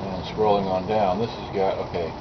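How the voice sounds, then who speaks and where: A man's voice: sits low, little pitch movement, normal speed. A man casually talking through an unboxing in a rambling, hesitant way, with many fillers and restarts, recorded outdoors at a table on a small camera's built-in mic. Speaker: vlogger unboxing cassette tapes. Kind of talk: casual unscripted unboxing commentary. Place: outdoor table by a sunny window. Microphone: built-in mic of a small digital camera. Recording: dull lo-fi camera audio with background noise.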